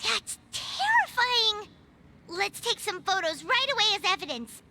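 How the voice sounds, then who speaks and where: An actress voicing a tiny, excitable female floating companion who talks about herself in the third person. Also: very high voice, unhurried, heavy background noise, exaggerated voice. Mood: indignant. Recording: game audio with music behind.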